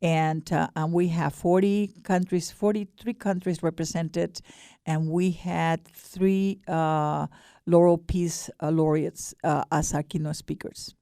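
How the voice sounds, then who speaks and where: A mature woman with an Ecuadorian Spanish accent gives a radio interview in a low, gravelly voice. She speaks earnestly, often stopping and restarting, and the sound is dry and close. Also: little pitch movement, takes it slowly, dark tone, no echo. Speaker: guest, board director of a peace nonprofit.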